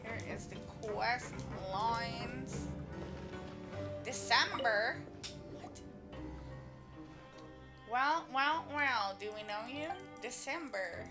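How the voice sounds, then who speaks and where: Female livestreamer, casual gameplay chat, high voice, slow easy pace, game music clearly behind. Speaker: game streamer.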